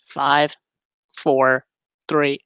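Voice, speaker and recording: A woman's voice: very deep voice, flat delivery, very slow, fairly bright tone, smooth, no room sound, studio quality, full character voice. A woman reading the part of a gloomy, hungry, wisecracking young kid, sarcastic, at a screenplay table read.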